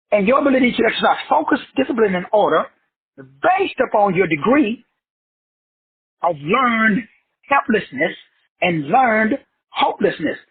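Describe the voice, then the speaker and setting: A middle-aged man lecturing a class with fervent, preachy energy and plenty of swearing. His voice sits high and swings widely in pitch, with a very raspy, husky edge. The sound is muffled and lo-fi. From South Texas. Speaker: motivational teacher.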